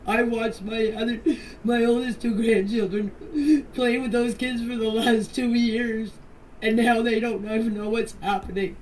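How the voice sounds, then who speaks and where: A woman's voice: fairly high pitch, steady tone, unhurried, a little mellow. Older woman, distant noisy voice message. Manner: pleading, insistent, plain-spoken. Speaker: grandmother answering questions in a group voice chat. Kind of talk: emotional personal statement in voice messages.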